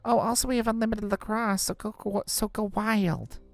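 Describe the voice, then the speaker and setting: A woman's voice: mid-range voice, fairly even intonation, normal speed, soft background music, close to an everyday voice. A woman voicing an upbeat school girl hosting her birthday party, announcing casually to classmates in a story game. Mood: excited.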